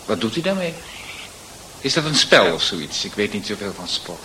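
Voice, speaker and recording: A man's voice: lowish voice, sing-song, moderate pace, sharp tone, a little hiss or hum, poor audio. An actor playing a polite, insinuating clergyman in a radio drama, courteous and a little hesitant. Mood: politely hesitant.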